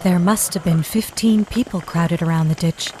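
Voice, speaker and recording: An actress playing a grave woman eyewitness, narrating horror in deliberate, vivid phrases for a live audio drama. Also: fairly low pitch, mellow, slight background noise, natural voice, poor audio. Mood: grave, ominous.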